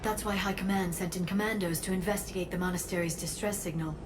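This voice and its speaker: A woman playing an earnest alien scholar who explains gravely and precisely in a video game. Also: fairly low pitch, steady tone, quick pace, natural voice. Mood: grave, explaining. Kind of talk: video game dramatic exposition. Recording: noticeable ambient noise.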